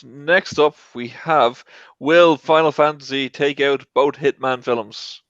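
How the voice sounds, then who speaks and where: A man's voice: mid-range voice, steady tone, takes it slowly, fairly bright tone, no room sound, dull audio. A man hosting a film tournament talk show with casual banter, introducing and moving things along.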